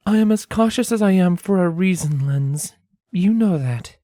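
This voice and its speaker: A cautious, protective pony mare, acted out by a man, speaks in a raised, high voice. She is wry and pragmatic, choosing plain, slightly formal words in a measured way, as in a dramatized storybook. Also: lively intonation, warm tone, dry recording, clean studio sound. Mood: plain, guarded.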